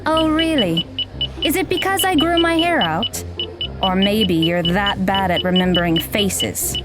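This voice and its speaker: An actress playing a blunt, aloof young magical mentor who talks in a dry, deadpan way in an audio drama. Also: female voice, mid-range voice, measured pace, close to an everyday voice. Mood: cold. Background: background music.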